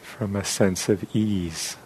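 A man leading a guided meditation as a teacher, speaking softly and unhurriedly with long pauses. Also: on the low side, steady tone, a little hiss or hum, low-quality recording.

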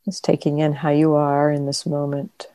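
A woman teacher leading a guided meditation in a gentle, unhurried manner, leaving long pauses. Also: sits low, monotone, no echo. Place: meditation center.

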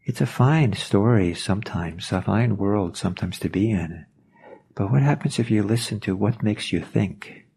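A man whose first language is Norwegian, giving a reflective meditation talk, pausing and searching for words as he goes. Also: lowish voice, animated, vocal fry, warm tone, breathy edge. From Norway. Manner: thoughtful, hesitant, searching for words.

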